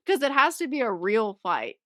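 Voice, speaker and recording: A woman's voice: on the high side, very animated, normal speed, no room sound, clean studio sound. A woman co-hosting a podcast in a casual, chatty way, often laughing through her words. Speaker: podcast co-host. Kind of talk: casual book-club chat.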